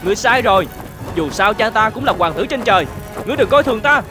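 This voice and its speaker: A performer playing a brave, defiant boy in an animated fairy tale, bold and taunting. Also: mid-range voice, fast talker, music in the background, close to an everyday voice. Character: brave, defiant boy, half-celestial son of a prince.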